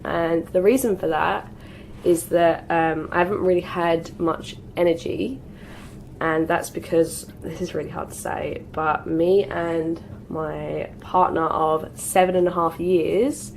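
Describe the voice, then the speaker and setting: A woman's voice: lowish voice, steady tone, slow pace, vocal fry, a little mellow, a little hiss or hum, low-quality recording. A woman in her twenties from the UK chatting through a makeup routine while getting over a cold, with lots of "like" and "um" fillers. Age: 20s. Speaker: beauty vlogger. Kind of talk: get ready with me chat.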